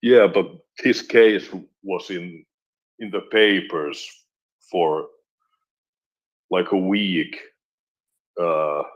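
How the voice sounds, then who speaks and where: A man chatting casually on a live audio space, asking relaxed, curious questions. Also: low-pitched, drawn-out words, long pauses, muffled recording.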